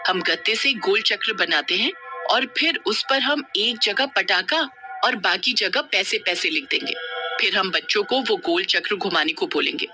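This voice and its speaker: A performer voicing a kindly but scheming female witch in an animated, conspiratorial storybook manner. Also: mid-range voice, normal speed, over music, natural voice.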